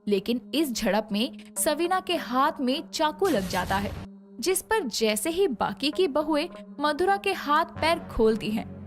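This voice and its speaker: A woman narrating a scripted moral story in a dramatic, expressive way, over soft background music. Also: high voice, measured pace.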